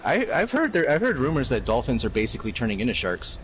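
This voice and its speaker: A man's voice: medium pitch, huge pitch swings, fast talker, slight background noise, rough recording. A man hosting in a casual livestream banter style. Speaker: stream host relaying audience chat.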